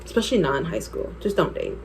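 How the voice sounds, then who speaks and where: Chatty young woman with low voice. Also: expressive, vocal fry, slight background noise, low-quality recording. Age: 20s. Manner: chatty, candid, laughs often, quick asides. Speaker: beauty vlogger. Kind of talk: storytime while doing makeup.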